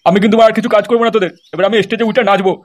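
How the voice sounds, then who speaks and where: Man voicing a fast, high-pitched cartoon grandpa. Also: fairly even intonation. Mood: grumbling, defiant. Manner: coarse, grumbling. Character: foul-mouthed old Bengali grandfather eager to dance and drink. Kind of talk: amateur cartoon dubbing.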